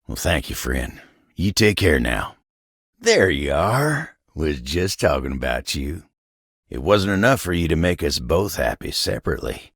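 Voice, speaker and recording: An actor playing a folksy, tender, patient table turned human in a video game, with an American accent and a gravelly, husky drawl. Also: male voice, rumbling low, big pitch swings, a little slow, a bit bright, no echo, studio quality, full character voice. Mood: warm, bashful, then flirty, patient. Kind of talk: video game character dialogue.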